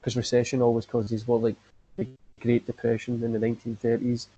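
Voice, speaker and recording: A man's voice: mid-range voice, monotone, fairly quick, mellow, rough recording. A man hosting a podcast in casual chat, rambling and filling his talk with "like". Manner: rambling, leans on "like" fillers. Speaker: podcast host.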